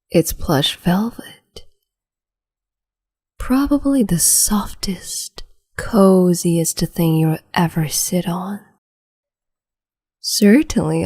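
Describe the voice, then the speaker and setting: A woman voicing a cruel, capricious empress in a whispered roleplay, slow, mocking and condescending, close to the mic. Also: lowish voice, mellow, full character voice. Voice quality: whispery, breathy.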